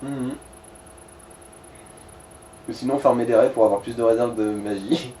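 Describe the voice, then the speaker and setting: A man's voice: medium pitch, steady tone, a little slow, warm tone, a little hiss or hum. A man chatting casually and bluntly, with swearing, as he advises someone playing a game. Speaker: co-commentator advising the player. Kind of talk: casual gaming chat. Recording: roomy, distant mic, game music behind. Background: game music.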